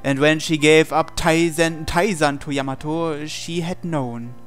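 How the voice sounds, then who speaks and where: Higher-pitched man narrating dramatically over music. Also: fairly even intonation, measured pace, a bit bright. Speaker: let's player reading a visual novel aloud. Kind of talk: dramatic narration reading with casual asides. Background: game music.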